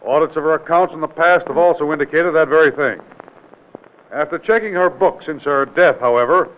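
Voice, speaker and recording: Forceful male investigator, played by a man, 1940s radio crime drama. Highish pitch, commanding, insistent, tinny old recording. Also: fairly quick, lightly acted. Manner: authoritative, brusque, insistent rhetorical questioning. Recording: tinny, band-limited vintage radio recording.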